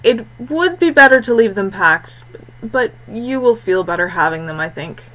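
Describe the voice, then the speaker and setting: A woman voicing a calm, cautious, dryly amused male guide with measured, formal phrasing in a fantasy storybook. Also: medium pitch, natural voice, poor audio. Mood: calm caution.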